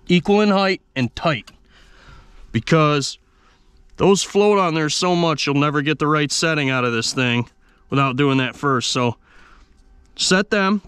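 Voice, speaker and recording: A man's voice: on the high side, clean tone, no echo. A man casually walking viewers through an engine repair step by step, as a mechanic would. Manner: relaxed, talks the viewer through each step. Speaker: mechanic restoring an old diesel engine.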